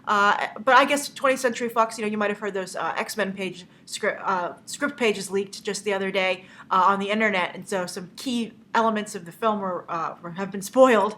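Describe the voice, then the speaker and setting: A woman's voice: medium pitch, moderate pace, few pauses, a bit bright. A young woman with a cold and a stuffy nose, hosting a casual movie news show, chatty and opinionated, with lots of "uh" fillers.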